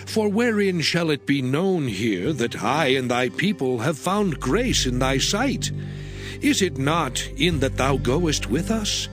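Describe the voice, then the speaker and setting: A man's voice: a little high, very animated, slow. A man playing an earnest, pleading prophet in a dramatized scripture reading, with declamatory delivery. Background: music.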